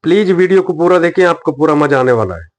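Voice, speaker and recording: A man's voice: a little high, lively intonation, fast talker. A man with an Indian accent presenting a news reaction video and addressing viewers directly as host. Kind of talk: presenting to camera audience, addressing viewers as friends.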